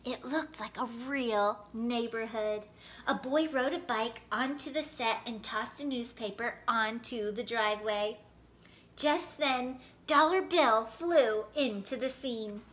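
Woman, children's storyteller, high pitch, slow pace, animated read-aloud. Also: slight background noise. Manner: animated, clearly paced storytelling. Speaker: storyteller reading picture books. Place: indoor living room by a fireplace.

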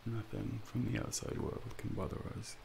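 A young man doing a soft, breathy ASMR role play as a calm Devil, soothingly guiding a listener into sleep with deliberate pauses, close to the mic. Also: on the low side, background noise. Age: young adult. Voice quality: breathy, half-whispered. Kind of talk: ASMR soft speaking, guided sleep hypnosis. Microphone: close binaural microphone.

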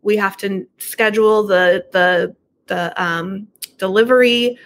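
A woman's voice: mid-range voice, slow pace, a little hiss or hum. A middle-aged woman talking casually as an interview guest over a video call from a room at home. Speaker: podcast guest, horror book subscription business owner.

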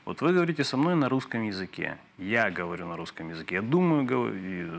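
A man's voice: fairly low pitch, very animated, vocal fry. A man in his thirties from Ukraine, arguing persistently in a studio debate and sprinkling his speech with fillers. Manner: measured, persistent, many fillers. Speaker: TV host. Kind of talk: heated debate. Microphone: lapel.

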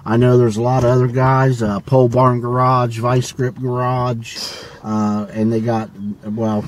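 A middle-aged man hosting a casual vlog from behind the wheel, talking in a relaxed, rambling, folksy way and laughing often. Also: mid-range voice, measured pace. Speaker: channel host. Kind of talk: casual vlog talk while driving. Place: inside a moving car. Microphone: camera held by a passenger. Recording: loud road and engine noise, mic a little far.

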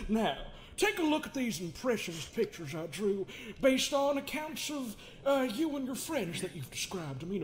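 An unhinged, folksy therapist in a comedic horror game dub. He talks high and swoops wildly between mockery and menace. Clear noise sits behind the voice. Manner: theatrical, mocking, folksy, swinging into giggles.

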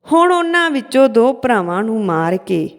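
Lady telling a folk story, kinda high voice, slow and halting, big echoey reverb on it. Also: big pitch swings. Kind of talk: folk tale narration. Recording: long hall-like reverb.

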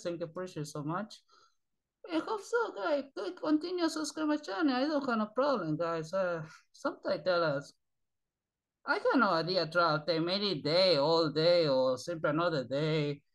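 A tired man, a native Spanish speaker, rambling in halting, repetitive broken English with sighs as he talks to his channel's viewers from a small bedroom on a webcam. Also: a little high, fairly bright tone, low-quality recording. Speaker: channel host. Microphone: webcam at desk.

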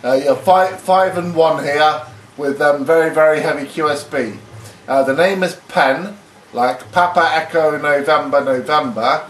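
A man's voice: fairly high pitch, a little slow. A man chatting as an amateur radio operator in a hesitant way, repeating himself. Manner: hesitant, repeats phrases and spells words phonetically. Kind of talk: casual ham radio contact chat. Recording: echoey room, faint radio hiss.

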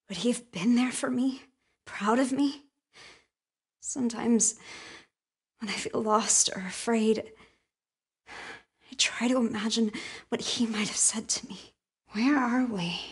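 A young woman voicing a vulnerable yet defiant young heroine in a video game, emotional and breathy. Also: fairly high pitch, sharp tone, full character voice. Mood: uneasy. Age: young adult. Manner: emotional, urgent. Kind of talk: video game character acting.

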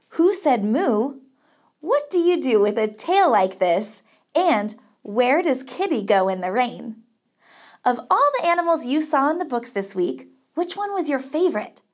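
High, very animated woman hosting for kids. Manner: enthusiastic, exaggerated delivery addressed to young children. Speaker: camp counselor host of a children's show. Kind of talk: upbeat scripted presenting to children. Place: small decorated indoor studio set.

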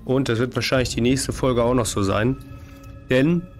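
A hoarse man in his thirties with a cold, casually commenting on a horror game at a desk microphone, reacting jumpily to scares. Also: medium pitch, fairly even intonation, normal speed, faint music behind. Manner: chatty, jumpy reactions to scares. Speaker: let's play host. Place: home streaming room, indoors. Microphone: desk microphone, headset on.

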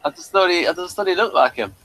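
A middle-aged man hosting a casual review chat over a video call, halting and repeating words as he gathers his thoughts. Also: high-pitched, animated, fairly bright tone, low-quality recording. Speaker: host of a review show. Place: small cluttered room at home. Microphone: headset on a video call.

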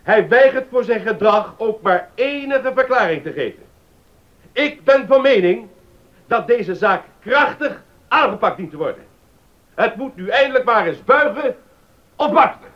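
A high, emphatic, stern councillor. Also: male voice, expressive, a little slow. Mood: stern, accusing. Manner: emphatic, formal, severe. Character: stern, accusing male council member. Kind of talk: Dutch docudrama acting. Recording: dull lo-fi recording.

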